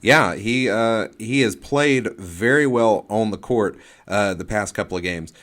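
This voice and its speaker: A man's voice: lowish voice, measured pace, a bit bright. A man co-hosting a sports talk show, analysing off the cuff and often repeating words and phrases as he goes. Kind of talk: unscripted sports discussion and analysis.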